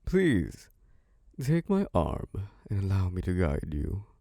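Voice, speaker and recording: Ancient vampire lord, played by a man: very deep, unhurried, flirtatious and possessive, in an ASMR drama. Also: huge pitch swings, no echo, studio quality, exaggerated voice. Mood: seductive. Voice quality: deep, gravelly. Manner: slow, velvety and flirtatious, with soft laughs. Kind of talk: ASMR roleplay audio drama.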